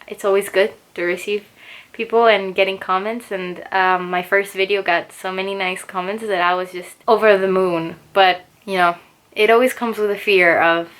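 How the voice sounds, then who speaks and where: A young woman whose first language is Spanish talks easily to camera at home, restarting her sentences often. Her pitch sits mid-range for a woman and her tone stays laid-back and unhurried. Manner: chatty, relaxed, with frequent restarts and fillers. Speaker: booktuber. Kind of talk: casual chat to camera. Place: home, indoors.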